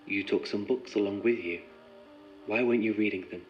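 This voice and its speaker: A man's voice: lowish voice, fairly quick, darkish tone, natural voice. An actor playing a composed, methodical male researcher in an audio drama, speaking in a clinical, probing, precise way. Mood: coaxing, guiding. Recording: muffled sound with music behind.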